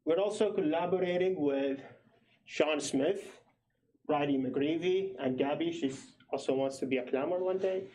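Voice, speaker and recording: A man's voice: a little high, dark tone, poor audio. A man from Iraq, a PhD student in his thirties, gives a hesitant, explanatory research presentation at a public meeting. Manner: slow, hesitant, explanatory, filler-heavy.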